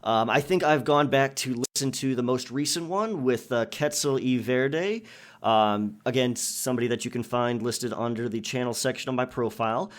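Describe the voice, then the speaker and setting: A man's voice: medium pitch, moderate pace. A man hosting a casual question and answer session for his listeners in a conversational, chatty manner. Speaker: audio roleplay creator and channel host.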